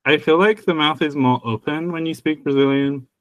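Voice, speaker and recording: A man's voice: on the high side, moderate pace, no echo. A man co-hosting a podcast, chatting casually about language, rambling with many restarts and laughing at himself. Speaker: podcast co-host.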